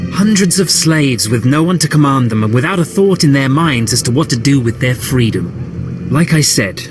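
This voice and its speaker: A man voicing a disdainful imperial officer in a video game, deferential as he briefs his lord. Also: mid-range voice. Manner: contemptuous, briefing a superior.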